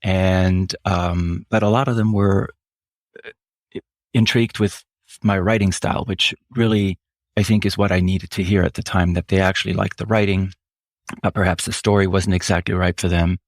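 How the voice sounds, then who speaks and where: Man, 50s, very low voice, measured reflective interview talk, dry close sound. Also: fairly even intonation, no room sound. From Switzerland. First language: German. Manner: measured, reflective, slows down often, frequent fillers. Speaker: author guest. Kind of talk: interview answers.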